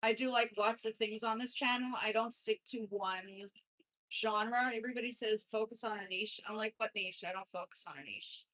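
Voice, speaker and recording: A woman's voice: a little high, steady tone, normal speed, fairly bright tone, poor audio. A woman in her forties talks casually to her viewers from a home studio. Her talk is chatty and rambling, full of asides and self-corrections. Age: 40s. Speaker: channel host. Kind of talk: casual chat to camera. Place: home studio with music playing. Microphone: swapped desk mic, then lavalier.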